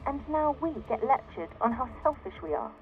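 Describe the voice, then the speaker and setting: An actress playing an indignant, self-righteous founder of a floating city in a video game audio log, exasperated, defensive and emphatic, through a radio-like filter. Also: female voice, sits high, big pitch swings, brisk, narrow, tinny audio, over music. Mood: bitter.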